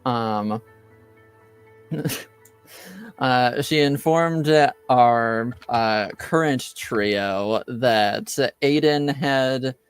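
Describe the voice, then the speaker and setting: A man hosting a tabletop roleplaying game as game master, recapping casually and haltingly as he searches for words. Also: mid-range voice, clean tone, soft background music.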